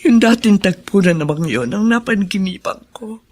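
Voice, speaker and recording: A woman's voice: medium pitch, moderate pace, darkish tone, a little hiss or hum, natural voice. A woman playing a weary, troubled older housekeeper in a Filipino radio drama, grave in manner, with a Filipino accent. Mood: shaken, weary.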